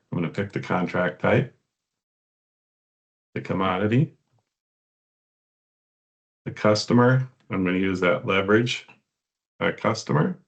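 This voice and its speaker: A man with a fairly low voice demonstrates software in an unscripted walkthrough. He explains one step at a time. His delivery is halting as he works through each part.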